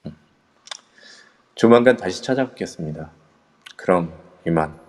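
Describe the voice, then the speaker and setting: A man playing a male kendo instructor who leads a ghost-hunting group, calm and in charge. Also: low-pitched, normal speed, in a large hall. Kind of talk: amateur audio drama acting.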